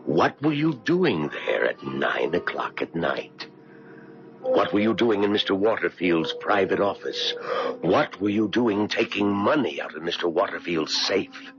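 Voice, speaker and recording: Very deep, gravelly, all-knowing stranger. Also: male voice, lively intonation. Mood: probing, accusing. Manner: probing, deliberate, knowing. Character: mysterious all-knowing man offering second chances, calmly insistent. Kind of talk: 1970s radio drama acting. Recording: muffled vintage radio broadcast with music.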